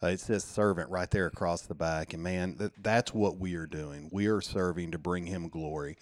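Low-voiced man, close and dry. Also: steady tone, normal speed, no room sound. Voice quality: slight lisp at times. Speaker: festival organizer promoting the event. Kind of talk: casual promotional talk.

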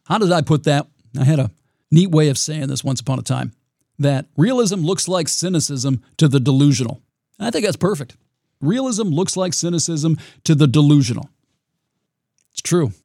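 A man with a raspy voice hosting his own podcast, talking in a reflective, rambling way with frequent restarts. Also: a little high, lively intonation, moderate pace, dark tone, no room sound, studio quality. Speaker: podcast host. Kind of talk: solo podcast monologue.

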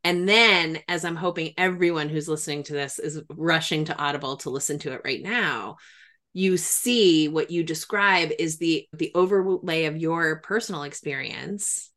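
A woman's voice: low voice, normal speed, fairly bright tone. A woman hosting an interview, talking in a conversational, explanatory way with engaged enthusiasm. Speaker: interviewer, host trained in trauma and grief work.

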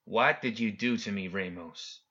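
A man's voice: lowish voice, a little slow, pushed and bright, highs cut off. A man voicing a stern, stoic woman of the law in an audiobook, blunt and accusing. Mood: demanding. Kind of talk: audiobook character acting.

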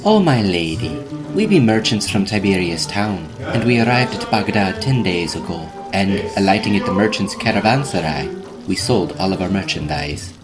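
Casting: male actor as low-voiced royal vizier, courtly, careful, smooth-talking, over prominent music. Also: animated, normal speed. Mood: courteous, pleading. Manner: formal, archaic, courteous. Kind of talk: audio drama acting of an old tale. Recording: loud music behind the voice.

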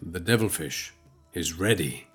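A man playing a calm, wise alien elder in a science fiction audio drama, speaking in a measured, formal, philosophical way. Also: deep voice, music in the background. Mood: composed, helpful.

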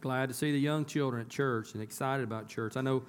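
A hoarse man, a pastor talking to his congregation in a casual, folksy way that turns emphatic. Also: mid-range voice, fairly quick, slight background noise. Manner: folksy, conversational, rising to emphatic. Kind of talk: casual church announcements and sermon. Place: church sanctuary.

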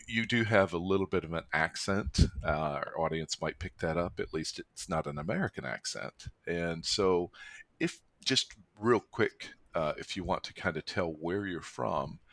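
A man's voice: low-pitched, fairly bright tone, no room sound. A man co-hosting a podcast in a casual chat, talkative and self-correcting, laughing easily as he introduces a guest. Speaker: podcast co-host.

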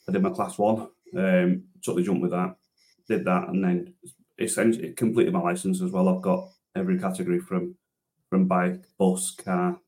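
Low-voiced man in his thirties, rambling. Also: a little mellow. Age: 30s. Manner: rambling, many restarts and fillers, self-deprecating. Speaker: interview guest, transport manager and driver trainer. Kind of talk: casual podcast interview.

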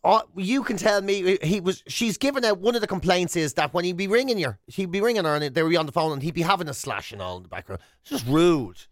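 An Irish man co-hosts a comedy radio show in a high, very lively voice. He rambles excitably as he tells stories and banters. The sound is dry and close. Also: fast, hardly any pauses, no room sound.

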